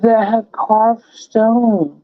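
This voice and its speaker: A man who has been drinking, rambling with profane, animated riffs in a casual chat. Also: sits high, drawn-out words, no room sound. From Texas. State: tipsy from drinking. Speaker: show host. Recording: dry, slightly dull lo-fi sound.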